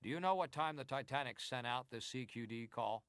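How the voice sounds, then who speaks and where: An actor playing a senator who questions a witness formally and deliberately in a dramatized historical hearing. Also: male voice, medium pitch, a bit bright, clear, resonant tone, dry recording, studio quality, natural voice. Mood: formal, probing. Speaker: actor reading hearing testimony.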